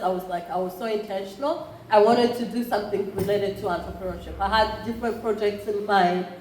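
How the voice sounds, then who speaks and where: A woman in her thirties with a Rwandan accent addresses a conference room in a relaxed, conversational way. She often pauses and restarts her sentences. The room is noticeably roomy, with faint ambience behind her. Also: medium pitch, slight background noise. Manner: conversational, with frequent fillers and restarts. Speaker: entrepreneurship program chair. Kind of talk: conference presentation.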